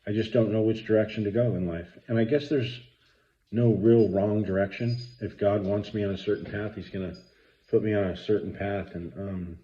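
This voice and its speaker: A man's voice: lowish voice, steady tone, moderate pace, mellow, dull audio. A man, talking earnestly and rambling with many fillers in a casual spoken reflection. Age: 50s. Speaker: vlogger.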